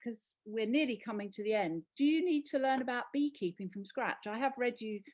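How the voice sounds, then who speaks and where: A woman with a fairly high voice moderates a live conversation. She is chatty and informal, reading out questions and often breaking off mid-thought to rephrase. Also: fairly quick, darkish tone. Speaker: interviewer, event moderator.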